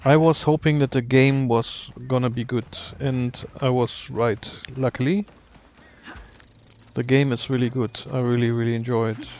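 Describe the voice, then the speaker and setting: A man's voice: medium pitch, fairly even intonation, normal speed, darkish tone, slight background noise. A man giving casual live video game commentary, muttering to himself as he plays.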